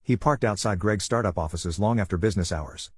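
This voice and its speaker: Fast-talking man with a low, husky voice. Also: dry recording, clean studio sound. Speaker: story narrator. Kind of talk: scripted narration of a drama story.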